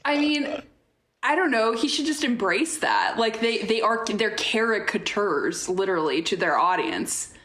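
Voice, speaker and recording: A woman chats casually as a podcast guest, dry and sarcastic. Her pitch is average for a woman. The room around her voice is clearly echoey. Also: lively intonation, measured pace, a bit bright. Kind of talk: casual chat.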